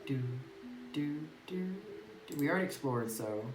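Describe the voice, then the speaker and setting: A man's voice: on the high side, animated, normal speed. A man casually commenting as he plays a game, musing with hesitant restarts. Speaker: game streamer. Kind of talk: let's play commentary. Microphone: phone mic away from speaker. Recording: roomy distant phone recording with music.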